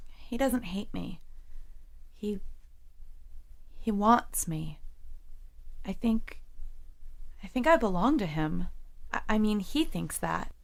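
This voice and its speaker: A woman's voice: medium pitch, lively intonation, slow, creaky, pauses often. A troubled, defiant young woman. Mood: haunted, earnest. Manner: defensive, intense. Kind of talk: audio drama acting.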